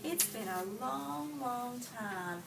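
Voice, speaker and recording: A woman with a fairly high voice reads a picture book to young children as their teacher. Her delivery is expressive and deliberate, stopping to question her listeners. She is recorded at a distance from the mic, with clear room noise around her. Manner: expressive storytelling, slow deliberate phrasing, pausing to question listeners. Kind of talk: interactive picture-book read aloud.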